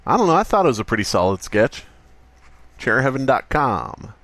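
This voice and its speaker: Animated man chatting casually, dry sound. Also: medium pitch, moderate pace, no echo. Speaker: podcast co-host. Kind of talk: casual joking chat.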